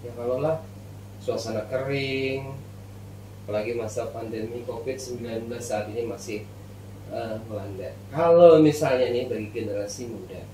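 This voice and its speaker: A man, hosting a casual interview in halting short phrases. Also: mid-range voice, mellow. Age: early 40s. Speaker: podcast host. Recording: echoey room, distant mic, faint noise.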